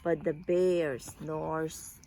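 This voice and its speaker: A woman's voice: low-pitched, level tone, drawn-out words, mellow, a little hiss or hum, rough recording. A woman reading a children's storybook aloud expressively, drawing out words and leaning on the sound words.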